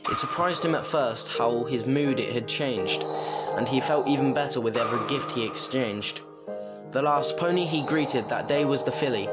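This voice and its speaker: A storyteller narrating a rhyming storybook fan fiction tale. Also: medium pitch. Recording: music behind the voice.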